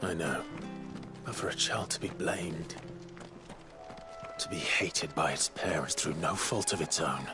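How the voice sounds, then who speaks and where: An actor playing a brooding, compassionate warrior in a video game, with a grave, measured delivery. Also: male voice, a bass voice, music in the background. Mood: somber.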